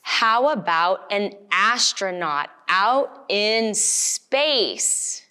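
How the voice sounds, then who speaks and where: A woman's voice: mid-range voice, expressive, drawn-out words, bright, forceful tone, clean tone, full character voice. A woman voicing a curious, wondering little boy in a children's storybook, full of questions. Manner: questioning, wide-eyed.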